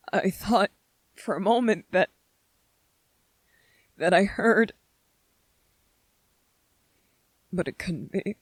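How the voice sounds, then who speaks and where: Woman acting as anxious young man soldier, gentle, earnest, slow halting delivery, soft-spoken ASMR roleplay, dry close sound. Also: fairly low pitch, no room sound. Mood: wistful, troubled. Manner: hesitant, halting, repeating phrases.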